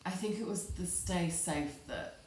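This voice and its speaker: A female podcast producer speaks casually to her colleagues. The room is echoey and there is clear background noise. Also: on the low side, lively intonation, measured pace. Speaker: podcast sound engineer or producer. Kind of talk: casual off-mic production chat. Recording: echoey, noisy, off-mic room sound.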